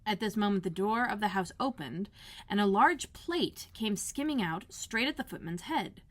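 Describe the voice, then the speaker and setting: A woman narrates a children's storybook. Her pitch sits in the middle for a female voice, and she reads expressively, as a school librarian does for young listeners. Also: animated, normal speed. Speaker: elementary school librarian reading aloud.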